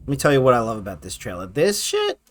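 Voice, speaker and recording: A man giving casual, slangy, profanity-laced video game commentary in an excitable, hyped manner. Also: medium pitch, quick pace, slight background noise. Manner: excitable, hyped, punctuating talk with exclamations. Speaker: video host reacting to favorite game intros. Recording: music playing behind the voice.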